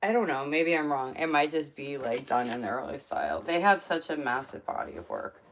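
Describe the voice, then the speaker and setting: A woman's voice: a bass voice, very animated, fairly quick, a little hiss or hum, rough recording. A woman casually chatting on a live stream from her home, rambling with many fillers. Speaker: livestream host. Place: home room.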